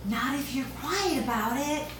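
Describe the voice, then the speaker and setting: A woman's voice: high voice, expressive, measured pace. A woman playing a sly, coaxing nine-year-old imaginary boy at an amateur script read. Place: echoey room, recorded from a distance. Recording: very echoey room, distant mic, hiss.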